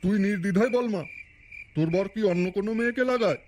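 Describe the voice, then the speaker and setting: A pompous tantric guru played by a man in a comic cartoon, pitched high for a male voice. He is vulgar and bombastic, given to chanting, over clear background noise. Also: fast, close to an everyday voice. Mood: pompous, assured. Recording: clearly noisy recording.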